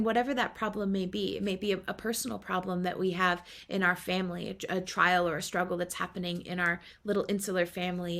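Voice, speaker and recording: A woman's voice: medium pitch, vocal fry. Earnest woman giving a spiritual talk. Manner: earnest, affirming, with many pauses. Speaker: spiritual teacher.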